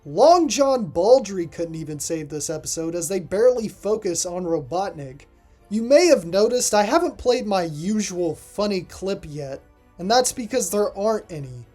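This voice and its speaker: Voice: medium pitch, expressive, normal speed, a little mellow, soft background music. A reviewer narrating a scripted video review in a voice-over.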